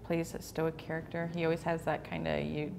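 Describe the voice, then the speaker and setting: A woman in her thirties chats casually on a panel show. Her voice is a little low, with faint noise in the background. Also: completely flat delivery, brisk, gravelly. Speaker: geek talk show panelist. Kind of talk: casual chat. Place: indoor room, panel around a table.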